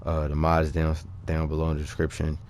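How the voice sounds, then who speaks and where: A man with a low voice delivers loose, unscripted gaming commentary. He thinks out loud, halting and picking up again as he explains things to his viewers. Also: monotone, a little hiss or hum. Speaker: gaming video creator. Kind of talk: casual unscripted game commentary.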